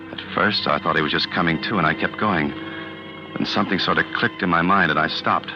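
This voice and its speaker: An actor playing an earnest, uneasy young office clerk, hesitant and plain-spoken, in a 1940s radio drama. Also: male voice, extremely low pitch. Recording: dull old radio recording.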